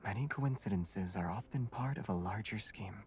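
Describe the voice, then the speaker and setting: An actor playing a calm, gentle young companion in a romance game, speaking softly and with measured composure. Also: male voice, on the low side, soft background music, natural voice. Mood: calm, thoughtful.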